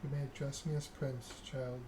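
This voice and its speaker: A man voicing a cold, noble female vampire ruler in a video game, speaking formally and with measured command. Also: mid-range voice, flat delivery, lightly acted. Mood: stern, formal. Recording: clear background noise.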